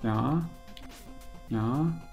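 A man's voice: mid-range voice, extremely slow, gravelly, soft background music. A man speaking with a mixed Carinthian and Viennese Austrian dialect, casually commenting on a retro game he is playing and chatting with a guest. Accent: Austrian, Carinthian and Viennese dialect mix. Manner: relaxed, grumbles and mutters at the game. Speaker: let's player.

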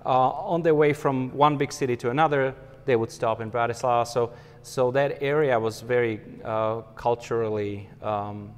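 A man's voice: medium pitch, moderate pace, hardly any pauses, clean tone. Slovak man, 40s, guest interview, hesitant and thoughtful, hall echo on a lapel mic. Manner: thoughtful, many hesitations and fillers. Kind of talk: conversational interview. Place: empty hall with a stage.

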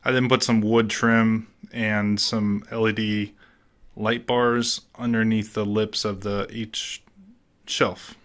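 Brief: man with a lowish voice, relaxed collector walkthrough, matter-of-fact, lots of "uh"s. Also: takes it slowly. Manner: plain, matter-of-fact, with frequent "uh" fillers. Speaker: statue collector giving a room tour. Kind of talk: casual voice-over room tour.

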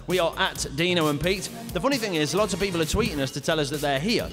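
High-pitched male breakfast radio host. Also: fast talker. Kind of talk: upbeat live radio banter. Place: on stage in a hall before a live audience. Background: music bed.

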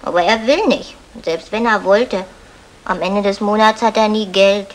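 An actress playing a sweet, naive young housemaid in an old German radio comedy, earnest and artless. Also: female voice, mid-range voice, moderate pace, close to an everyday voice, poor audio.

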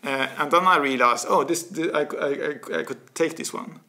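A man's voice: on the high side, moderate pace. A man in his forties with a Swedish accent, a guest talking animatedly and restarting words as he thinks, recorded on a desk mic in a small office studio. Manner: animated, gestures a lot, repeats words while searching. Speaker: guest, author. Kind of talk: casual interview talk.

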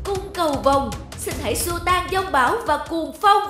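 A woman's voice: extremely high pitch, moderate pace, over music, voice pushed up. A woman voicing a sorrowful young goddess, a devoted daughter, earnest and pleading, in a cartoon. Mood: desperate pleading.

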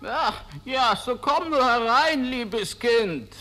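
An actor playing a wolf who poses as a frail old grandmother, coaxing in a falsely sweet, drawn-out way. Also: very high-pitched, lively intonation, bright, forceful tone, full character voice. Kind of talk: 1970s German fairy-tale record acting. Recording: noisy, clicking old vinyl record.

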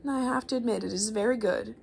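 A haughty storybook goddess, read by a woman, speaks softly and coolly. Measured words slip toward a whisper, with a faint hiss in the room. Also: on the high side, gravelly. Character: proud, icy Greek goddess challenging a rival.

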